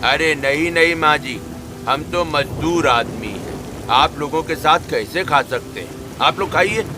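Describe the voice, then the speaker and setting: A man's voice: a little high, animated, unhurried, background noise. A man voicing a humble, grateful village farmer in a cartoon story.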